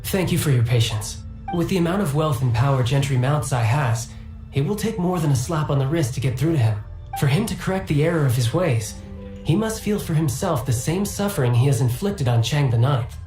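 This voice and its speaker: A man's voice: fairly high pitch, animated, brisk, darkish tone, lightly acted. A man voicing a courteous formal guild attendant. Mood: explaining. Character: polite formal male merchant guild attendant. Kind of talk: video game voice acting. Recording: roomy game audio with music.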